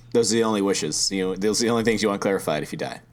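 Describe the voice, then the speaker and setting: A man's voice: on the low side, a little fast. A man co-hosting a podcast in casual chat, teasing his partner and laughing a lot. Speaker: podcast co-host.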